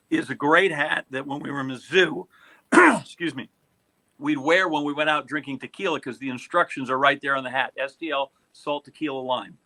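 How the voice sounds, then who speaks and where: A man's voice: medium pitch, normal speed, pushed and bright, poor audio. An American man hosting a casual sports podcast in a joking, teasing manner. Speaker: podcast host.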